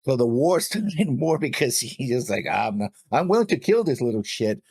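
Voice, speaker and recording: A man with a rough, husky voice and highly animated intonation chats casually as a reaction co-host. The sound is close and dry, with music behind. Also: moderate pace, dry recording.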